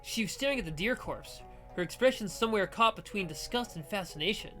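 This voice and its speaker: A game player with a casual, expressive delivery, narrating and commenting on a visual novel. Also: medium pitch, fairly quick, soft background music. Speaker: game streamer or let's player.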